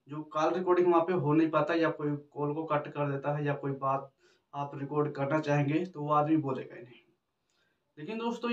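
A man, explaining phone settings step by step to camera in a repetitive tutorial manner. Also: fairly high pitch. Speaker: tech tutorial host. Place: small indoor room with backdrop. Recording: roomy, distant mic, dull muffled sound.

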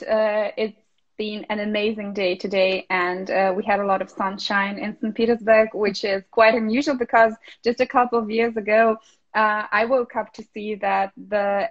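A woman's voice: mid-range voice, level tone, normal speed, lo-fi sound. A young woman hosting a live interview, friendly and hesitant with many fillers, on a phone video call. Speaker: interviewer, residency host. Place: indoor room.

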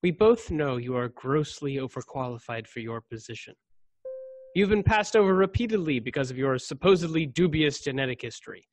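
A man's voice: fairly high pitch, expressive, fairly quick, natural voice. A man acting an officious, condescending ensign with a formal, clipped manner in a radio play. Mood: accusing, superior. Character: officious, condescending young male starship ensign.

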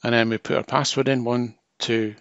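A man's voice: medium pitch, flat delivery, moderate pace, gravelly, no room sound, muffled recording. A man narrating a hands-on technical tutorial as a trainer, explaining informally step by step.

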